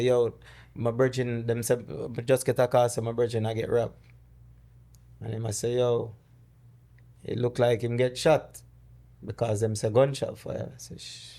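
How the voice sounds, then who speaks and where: A middle-aged man from Jamaica with a Jamaican accent, a podcast guest who recounts personal loss in a halting, word-searching way. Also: medium pitch, dark tone. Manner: halting, searching for words, with many restarts. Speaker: guest, dancehall artist. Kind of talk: conversational interview, storytelling.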